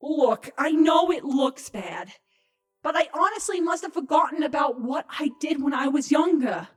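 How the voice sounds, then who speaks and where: Frantic, very high-pitched changeling spy. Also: female voice, normal speed, faint music behind. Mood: sheepish. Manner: excitable, pleading, flippant. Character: frantic young female changeling spy, cocky and defensive. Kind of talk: dramatic comedy fan fiction reading.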